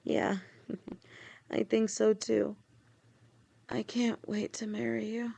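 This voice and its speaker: A woman voicing a loving, protective lamia fiancée in an audio roleplay, speaking gently and reassuringly. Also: on the high side, little pitch movement, slow, vocal fry, exaggerated voice. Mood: tender. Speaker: voice actor.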